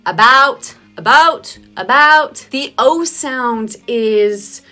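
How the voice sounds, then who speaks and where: A woman's voice: high-pitched, drawn-out words. A young woman with a Toronto Canadian accent, chatting playfully to the camera in a vlog and laughing often. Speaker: vlogger. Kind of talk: casual vlog talk to camera. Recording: faint music underneath. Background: soft background music.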